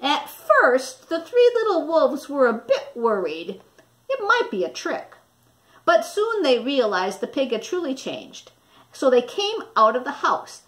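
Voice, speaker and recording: A middle-aged woman narrating a children's storybook expressively, with dramatic, deliberate emphasis on words. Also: high voice, big pitch swings. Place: small indoor room with wall displays.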